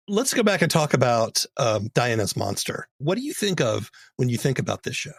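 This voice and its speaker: A man's voice: mid-range voice, very animated, normal speed, creaky, breathy edge, dry recording. A man hosting a podcast interview, asking questions in a conversational way.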